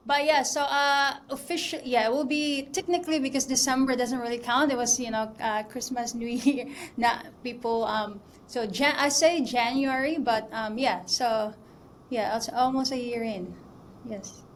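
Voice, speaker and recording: Chatty woman from the Philippines, bright pitch. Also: moderate pace, a little hiss or hum. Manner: chatty, many fillers and restarts. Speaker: mortgage broker guest. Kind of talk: casual interview chat.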